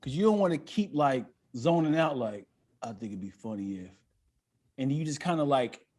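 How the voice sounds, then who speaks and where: A man's voice: medium pitch, animated, moderate pace, darkish tone. A man talking as a comedian guest on a podcast, casual and profane, often repeating words as he finds his way into a sentence.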